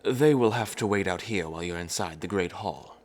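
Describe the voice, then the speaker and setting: A man doing a low, formal royal steward. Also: brisk. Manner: courteous, formal, slightly dry.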